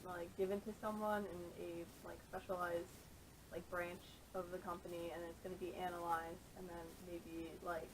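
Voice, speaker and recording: A woman talks casually as a podcast panelist, with very even intonation. Her phrasing is tentative and full of fillers. She is heard through camera audio with slight noise behind her. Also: lowish voice, normal speed. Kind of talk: casual chat. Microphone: camera microphone.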